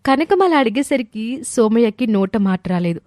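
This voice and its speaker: A woman's voice: on the high side, animated, normal speed, a little mellow, no room sound, clean studio sound. A woman reading a scripted moral story as its narrator. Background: background music.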